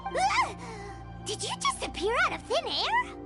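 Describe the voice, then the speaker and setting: A very high, squeaky little fairy, cheeky and childlike. The voice swoops wildly with excitable, boastful energy, in anime game style, over prominent music. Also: female voice, unhurried, exaggerated voice. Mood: startled, indignant. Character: tiny childlike floating fairy companion, cheeky and indignant.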